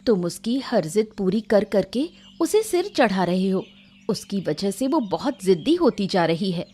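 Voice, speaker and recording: A woman voicing a caring village wife in a cartoon story, affectionate and gently nagging. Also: mid-range voice, big pitch swings, a little slow. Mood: chiding. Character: caring village wife, mildly chiding homemaker. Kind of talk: Hindi cartoon moral story acting.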